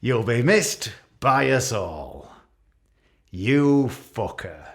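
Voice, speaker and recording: An actor playing a pompous, self-pitying middle-aged British senior police officer making a halting, passive-aggressive speech in a radio play. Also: male voice, medium pitch, big pitch swings, full character voice. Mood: bitter, mock-gracious.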